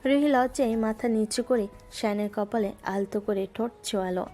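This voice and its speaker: A woman narrating a romantic audio story in a gentle, scripted storytelling style. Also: medium pitch, a little fast. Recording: music bed underneath.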